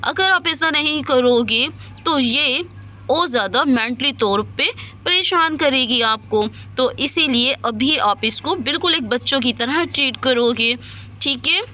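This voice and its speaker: A woman's voice: high voice, a little slow, sharp tone. A woman voicing a concerned, authoritative female doctor in a dramatised story, explaining firmly and reassuringly. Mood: serious. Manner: instructive, emphatic, reassuring.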